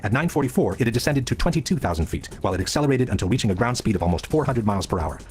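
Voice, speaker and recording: A man reading scripted documentary narration in a measured, expository manner, sounding sped up. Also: medium pitch, lively intonation, darkish tone. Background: background music.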